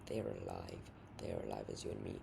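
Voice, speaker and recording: A man voicing a menacing, mocking male tyrant in a story, gravelly and theatrical, with coaxing repetition. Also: steady tone, fast, heavily acted. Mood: ominous. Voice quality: deep, booming, gravelly. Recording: noisy amateur home recording.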